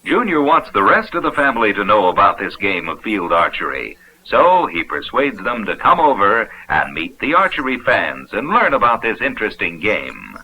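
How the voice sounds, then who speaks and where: A man's voice: on the low side, huge pitch swings, moderate pace, hardly any pauses, pushed and bright. An American man reading scripted narration for a 1970s instructional film in a folksy, upbeat, explanatory manner. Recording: dull, noisy vintage 16mm film soundtrack.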